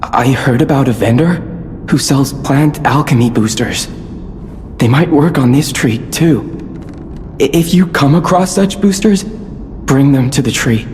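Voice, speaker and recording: A man playing an urbane, hesitant merchant who was once a farmer, with a stammering, halting delivery in a video game. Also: medium pitch, a little mellow, lightly acted. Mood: hesitant, earnest. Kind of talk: scripted game cutscene dialogue. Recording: long reverb, background noise.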